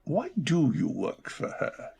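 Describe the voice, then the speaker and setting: A wealthy, melancholy gentleman, acted by a man speaks formally in an old-time radio play. His voice is husky and creaky, moving freely up and down within a polite reserve. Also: mid-range voice, huge pitch swings, takes it slowly, exaggerated voice. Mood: curious, charmed.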